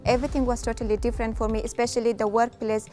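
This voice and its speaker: A woman's voice: on the high side, level tone, racing, mellow, soft background music. A woman from Afghanistan, for whom English is not her first language, giving an earnest interview testimony. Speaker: employee sharing her story.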